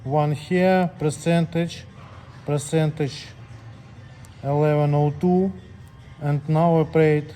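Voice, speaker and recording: Higher-voiced man giving step-by-step instructions, mellow tone. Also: steady tone, takes it slowly, pauses often, faint music behind. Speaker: scale technician demonstrating calibration. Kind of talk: hands-on tutorial, unscripted step-by-step instructions.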